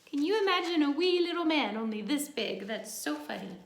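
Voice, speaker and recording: A woman with a fairly high voice and a noticeable creak reads a children's book aloud like a teacher. She breaks from the text for simple, encouraging asides to young listeners. The room adds a clear echo around her voice. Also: expressive, fairly quick.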